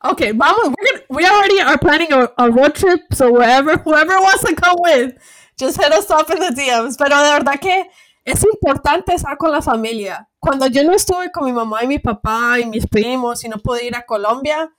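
A bilingual woman with a high, bright voice hosts a casual podcast conversation. She switches freely between Spanish and English and talks in an animated way. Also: fairly quick. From United States. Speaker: podcast host. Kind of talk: casual interview chat.

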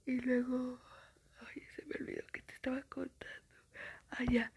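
Young woman, whispered ASMR storyteller; airy husky whisper, crackly fry, rambling gossip. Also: a little high, darkish tone. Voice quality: whispering, breathy. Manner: chatty gossiping storytelling, full of fillers.

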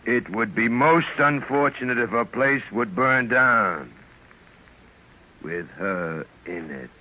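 A man's voice: medium pitch, animated, slow pace, background noise. A man playing a scheming villain in an old radio drama, sly and menacing. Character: scheming, smooth-talking villainous property buyer. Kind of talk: 1940s radio acting. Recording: muffled old radio recording.

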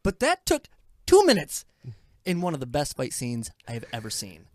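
A woman's voice: low-pitched, wildly swooping pitch, moderate pace, very noisy. A woman hosting a casual film review chat, speaking emphatically and with strong opinions. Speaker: film review podcast host.

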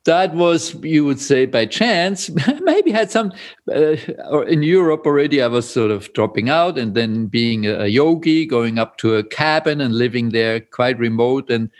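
An older man from Austria with an Austrian German accent, a Zen priest speaking as an interview guest, pausing often with fillers and soft chuckles. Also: medium pitch, expressive. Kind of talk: reflective interview talk.

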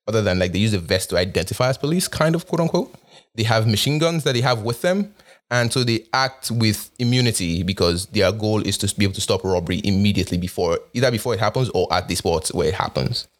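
A young man with a low-pitched voice talks in a relaxed, conversational way. His accent mixes Nigerian and North American sounds. He often uses fillers and restarts his sentences. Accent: Nigerian with North American influence. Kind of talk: casual monologue.

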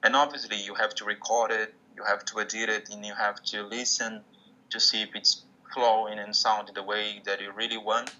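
Casting: bright, sharp-toned male musician, Portuguese first language, careful halting English, dull lo-fi video call sound. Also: on the low side, little pitch movement. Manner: hesitant, restarts often, searching for English words. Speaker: musician guest. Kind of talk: interview conversation.

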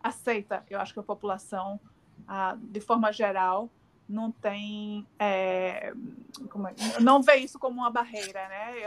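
Middle-aged woman, northeastern brazilian accent, halting chatty interview answers, lots of "né". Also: mid-range voice, a bit bright. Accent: Northeastern Brazilian Portuguese. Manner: hesitant, many fillers and restarts, frequent tag questions. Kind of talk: casual remote interview.

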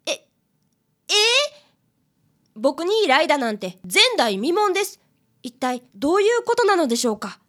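A woman's voice: high voice, big pitch swings, normal speed, long pauses. A woman playing an earnest, polite fifth-grade boy apprentice detective in a radio drama, easily flustered. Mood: flustered, surprised. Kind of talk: radio drama acting with first-person narration.

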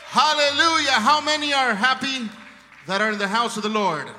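A man's voice: very high-pitched, moderate pace, big-room sound, a little hiss or hum. A man with a strong Spanish accent preaching a sermon to a congregation, calling out praises and exhortations with fervour. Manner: fervent, shouting praises to the congregation. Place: church hall.

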